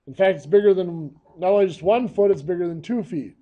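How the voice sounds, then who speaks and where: A hoarse, coughing man teaching a math lesson, walking through each step patiently. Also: high voice, a little fast, highs cut off.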